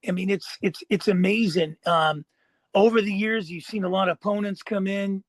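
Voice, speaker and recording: A man with a notably high voice hosts a podcast interview. He speaks in a casual, conversational way. The recording is dry and free of room echo. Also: fairly even intonation, moderate pace. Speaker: podcast host.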